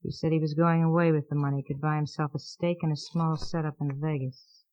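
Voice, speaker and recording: An actress playing a bitter, world-weary city widow with a sardonic drawl, in hard-boiled old radio style. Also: female voice, deep voice, flat delivery, brisk, warm tone, no room sound, natural voice. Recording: muffled vintage radio broadcast.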